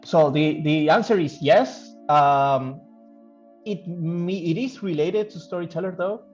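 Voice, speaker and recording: A man who works as a game developer gives casual interview answers, thinking aloud haltingly and repeating phrases. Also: a little high, a little mellow. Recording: dull lo-fi audio, music behind. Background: background music.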